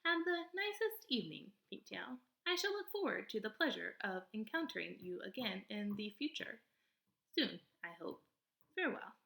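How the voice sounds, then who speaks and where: A woman voicing an earnest, gentle female robot in a storybook, with formal, stilted, over-polite phrasing. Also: medium pitch, big pitch swings, moderate pace, creaky, exaggerated voice, poor audio. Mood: stiffly friendly. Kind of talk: storybook character read-aloud.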